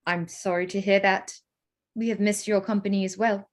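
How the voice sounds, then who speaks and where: An actress plays a young gentlewoman in a period drama. Her voice is medium in pitch. She is polite and poised, holding a careful reserve. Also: fairly even intonation, moderate pace, close to an everyday voice. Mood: flustered courtesy. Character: poised, guarded young gentlewoman, Lizzie Bennet. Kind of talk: staged reading of period drama.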